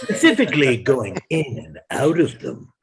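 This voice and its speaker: A man playing a deadpan house pet in a cartoon table read. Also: medium pitch, moderate pace.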